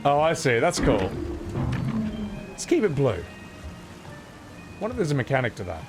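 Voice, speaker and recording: A man's voice: medium pitch, wildly swooping pitch, moderate pace. A man streaming a video game with casual, blokey, sweary chat. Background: game music.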